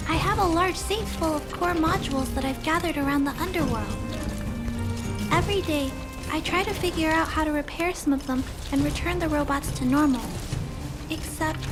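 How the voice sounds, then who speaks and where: A woman voicing a gentle, earnest little girl in a video game, speaking softly and politely. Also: high-pitched, lightly acted. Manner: soft-spoken, polite, earnest. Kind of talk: scripted video game acting.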